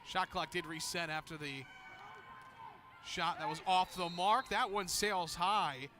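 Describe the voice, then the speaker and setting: High-pitched American man calling a game. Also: unhurried. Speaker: play-by-play announcer. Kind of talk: live sports commentary. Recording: clear outdoor stadium noise behind. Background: outdoor ambience of a game.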